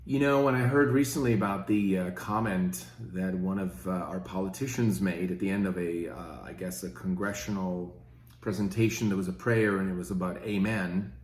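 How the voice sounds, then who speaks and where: A man's voice: sits low, moderate pace, reverberant. A fifty-year-old man from Switzerland, talking casually and rambling with many fillers in his own opinion video. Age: 50s. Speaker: podcast host.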